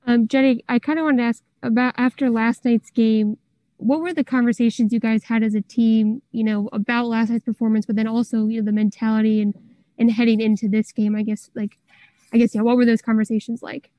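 A woman's voice: fairly high pitch, flat delivery, brisk, mellow, no echo, rough recording. A female reporter asking a hesitant, rambling question at a press conference. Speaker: sports reporter.

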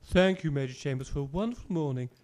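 An actor playing a genteel, effusive village vicar with an English accent, over-polite and fawning, in a comic audio drama. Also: male voice, a little high, fast talker, a little mellow, close to an everyday voice. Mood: cheery.

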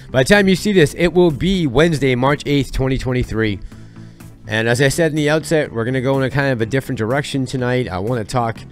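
Fired-up man ranting in a home studio. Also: medium pitch. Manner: heated, ranting, sarcastic. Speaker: channel host. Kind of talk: fired-up commentary vlog. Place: small home studio with colored lights. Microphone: headset with boom mic.

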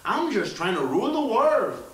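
An actor playing a self-pitying, whining dictator general with a put-on mock Spanish accent in a radio play. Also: male voice, extremely high pitch, very animated, normal speed, a bit bright, exaggerated voice. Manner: whining, theatrical sighs. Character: whiny self-pitying dictator general, middle-aged man. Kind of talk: 1980s radio play acting. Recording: roomy, distant studio sound.

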